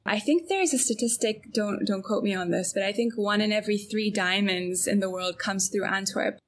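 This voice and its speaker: A young woman from Belgium, a guest in a casual interview, chatting in a relaxed way with many fillers and frequent laughs. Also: mid-range voice, moderate pace, slight background noise.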